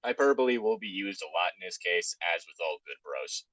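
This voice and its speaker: A man from Texas banters as co-host of a casual reading show. His voice is on the low side and very animated in pitch. He teases and swears freely as he jokes around. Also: a little fast, no room sound. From Texas, United States. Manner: joking, teasing, sweary. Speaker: co-host reacting to a story.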